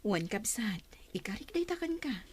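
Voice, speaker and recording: A woman's voice: mid-range voice, sing-song, moderate pace, a crowd in the background, lightly acted. A woman acting a kind, reassuring adoptive mother in an Ilocano audio drama. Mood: kind reassurance. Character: reassuring woman adopting her friend's baby.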